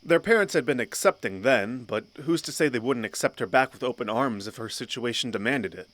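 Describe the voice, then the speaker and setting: A man's voice: mid-range voice, expressive, fairly quick, fairly bright tone, no room sound. A man narrating a fantasy audio drama in a scripted storybook style.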